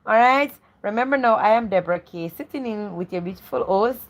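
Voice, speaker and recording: A woman with a medium-pitched voice presents as co-host of a live read-aloud. She addresses viewers directly in a chatty, welcoming style, often repeating her linking phrases. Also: fairly quick.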